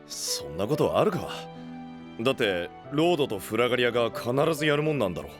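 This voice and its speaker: An actor playing a gruff, earnest young male knight who blames himself, with rough speech in an anime-style voice drama. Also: sing-song, fairly quick, music in the background, close to an everyday voice. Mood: doubtful. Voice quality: deep, heavy voice.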